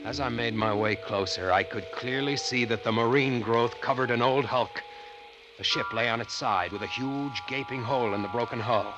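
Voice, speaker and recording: An actor playing an earnest treasure-hunting diver who tells his eerie adventure with dramatic, deliberate 1940s radio suspense delivery. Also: mid-range voice, dull audio, close to an everyday voice. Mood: grave, suspenseful. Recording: old radio broadcast with loud music.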